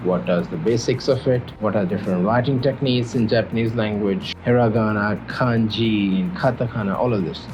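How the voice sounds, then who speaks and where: A man's voice: on the low side, normal speed, hardly any pauses, over music, low-quality recording. A man from Pakistan whose first languages are Urdu and Punjabi, earnestly teaching a casual beginners' video lesson and often repeating himself.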